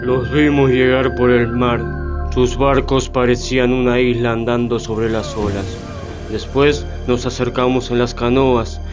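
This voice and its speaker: Actor as solemn, even-toned native storyteller. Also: male voice, mid-range voice, a little slow, natural voice. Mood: grave, regretful. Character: solemn, wronged Delaware native man remembering the settlers. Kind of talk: radio theatre monologue. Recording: slightly muffled, faint music behind.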